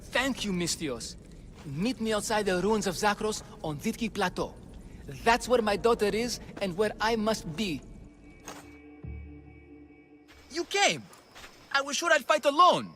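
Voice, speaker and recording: A man's voice: high voice, sing-song, normal speed, sharp tone, exaggerated voice. A man playing an anxious, devoted father and bumbling would-be hero in a video game, with an earnest, theatrical manner. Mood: pleading, hopeful. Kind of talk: video game cutscene acting. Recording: game music behind the voice.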